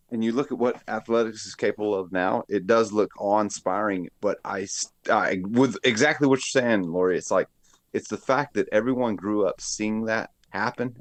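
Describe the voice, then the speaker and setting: A man in a casual podcast chat, thinking out loud with reflective tag questions. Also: lowish voice, dry recording. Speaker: podcast co-host.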